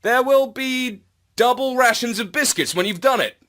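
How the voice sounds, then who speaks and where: A man voicing a polite, earnest human commander who speaks in a measured, slightly hesitant way for a children's story. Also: very high-pitched, pushed and bright, voice pushed up. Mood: coaxing.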